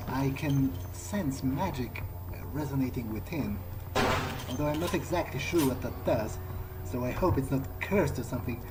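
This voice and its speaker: A man giving in-character video game commentary, thinking aloud in a halting, musing way. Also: medium pitch, heavy background noise. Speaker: gamer doing an in-character playthrough. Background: game music.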